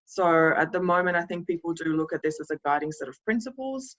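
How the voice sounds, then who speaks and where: A woman from Yugoslavia, a CEO, talking reflectively in a panel discussion, measured and hedging with fillers. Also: fairly low pitch, expressive, a bit bright. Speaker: CEO of a community organisation. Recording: dull lo-fi recording.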